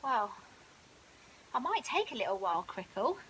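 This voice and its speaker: Woman putting on an excitable high-pitched storybook lion. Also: normal speed, slightly rough, heavily acted, low-quality recording. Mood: willing, earnest. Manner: earnest, emphatic. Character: excitable, easily offended male lion friend. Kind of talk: children's bedtime story reading. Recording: audible hiss.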